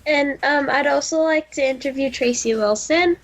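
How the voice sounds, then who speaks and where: High-pitched young girl answering interview questions. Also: moderate pace. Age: child or teenager. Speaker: interview guest, young shooting video maker.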